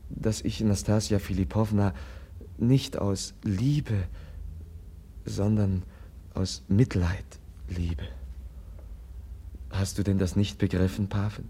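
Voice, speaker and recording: An actor playing a gentle, compassionate young man in a 1950s German radio drama, speaking earnestly and softly, as if pleading. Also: lowish voice, slight background noise. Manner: earnest, soft-spoken and pleading.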